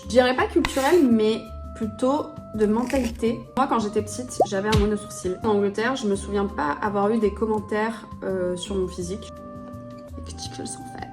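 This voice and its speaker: A woman's voice: mid-range voice, normal speed. A young adult woman, a native English speaker raised in London, telling her life story in a chatty, slangy way while she does her nails. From born in France, raised in London. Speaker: vlogger. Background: music.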